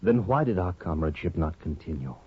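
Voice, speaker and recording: An actor playing an intense, wounded former lover in impassioned, halting 1950s radio drama style. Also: male voice, low-pitched, big pitch swings, breathy edge, full character voice. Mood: intense, hurt. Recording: muffled old radio broadcast.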